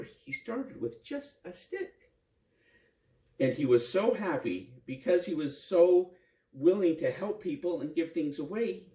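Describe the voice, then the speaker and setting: A man who is a priest telling a bedtime story to children in simple, gentle phrasing. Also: fairly high pitch, distant mic. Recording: roomy echo with faint music.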